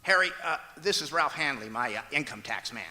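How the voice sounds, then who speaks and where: Guy playing wry sitcom husband, old radio style, stammery, really bright voice, roomy auditorium sound. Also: medium pitch, expressive, brisk. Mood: brisk. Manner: hesitant, dry, punctuated with stammers. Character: wry, patient straight-man husband, dry wisecracker. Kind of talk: old-time radio comedy acting on stage. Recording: echoey auditorium, noticeable noise.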